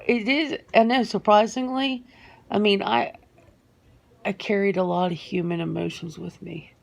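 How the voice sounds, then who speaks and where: A woman playing a reluctant, sentimental female werewolf in a soft-spoken ASMR interview roleplay, speaking haltingly and repeating words. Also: mid-range voice, steady tone, creaky, natural voice. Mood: troubled, distressed.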